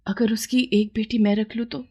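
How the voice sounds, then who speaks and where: A woman's voice: a little high, flat delivery, normal speed, darkish tone, slightly rough. A woman voicing a childless, yearning village woman who pleads and justifies herself in a dramatized moral story. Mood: anxious longing. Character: childless village woman, yearning, scheming, defensive new mother.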